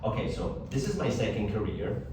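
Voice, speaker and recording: A man's voice: on the low side, normal speed, distant mic. Colombian guy in his 40s, spanish is his first language, jokey teacher in an echoey classroom, mic way off, noisy. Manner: joking, lively, asks students questions. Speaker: English teacher.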